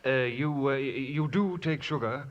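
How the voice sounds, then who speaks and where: A man's voice: mid-range voice, unhurried, natural voice. Missionary reverend male actor, pious, earnest, preacherly. Dull vintage radio sound, mid-range voice. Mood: flustered. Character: pious, solemn missionary reverend, anxious and steadfast. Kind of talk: 1950s radio drama acting. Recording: muffled vintage radio broadcast.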